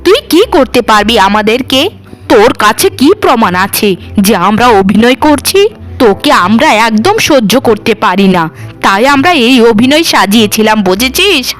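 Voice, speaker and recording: A woman's voice: fairly high pitch, expressive, normal speed, soft background music, natural voice. A woman voicing a scheming, melodramatic older mother-in-law in a cartoon. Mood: spiteful. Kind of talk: cartoon voice acting.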